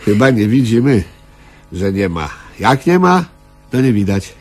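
A man's voice: on the low side, very animated, a little slow, slight background noise. An actor playing an idle, obtuse, stubbornly argumentative man in a comedic radio play, with a blunt, ponderous, mock-innocent way of talking. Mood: smug, argumentative.

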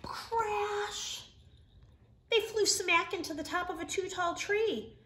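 A middle-aged woman reading a children's story aloud in an expressive, emphatic storytelling manner. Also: very high voice, takes it slowly. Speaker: storybook reader. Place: living room, indoors.

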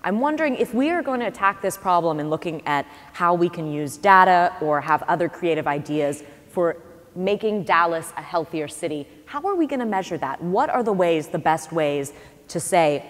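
A woman's voice: on the low side, lively intonation, normal speed. A woman moderating a panel on a theater stage, posing questions to the speakers. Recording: long hall reverb from a theater.

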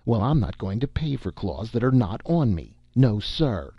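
A man's voice: mid-range voice, sing-song, normal speed, breathy edge, voice pushed up. A man voicing an aggrieved tattooed circus man, defiant and argumentative, in a storybook reading. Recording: dull, roomy amateur audiobook recording.

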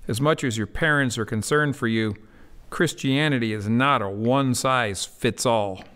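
A man with an American accent acting a kindly, patient youth pastor in an amateur radio drama, earnest and gently persuasive. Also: medium pitch, normal speed, clean tone, close to an everyday voice.

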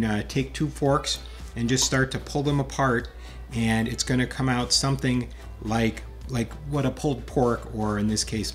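Middle-aged man hosting a home cooking lesson. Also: mid-range voice. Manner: friendly, explanatory, step-by-step instructions. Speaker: cooking show host. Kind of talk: casual cooking demonstration. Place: home kitchen indoors.

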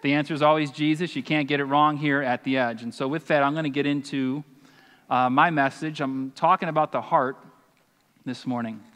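Earnest preacher with a slightly high voice. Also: male voice, fairly even intonation, fairly quick, fairly bright tone, smooth. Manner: earnest, emphatic, with call-and-response asides. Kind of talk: sermon with scripture reading and prayer. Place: church stage, large hall. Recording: long reverb of a large hall.

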